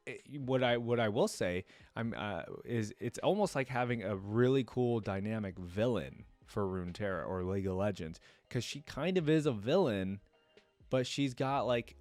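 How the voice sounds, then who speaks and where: A man's voice: medium pitch, a little mellow, dry recording. A man hosting a casual reaction video, chatty and musing as he thinks aloud.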